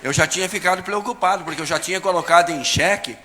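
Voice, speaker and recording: A man's voice: sits high, fast, pushed and bright, in a large hall. A man with a Brazilian Portuguese accent speaks colloquially, in an informal questioning style. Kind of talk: informal questioning at a public session. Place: council chamber. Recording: background noise and room ambience.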